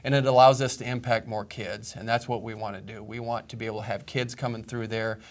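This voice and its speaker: A man from Iowa who runs a museum, guesting on an interview, speaking in a steady, proud, informative way. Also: lowish voice, fast talker. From Iowa, USA. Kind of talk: casual interview.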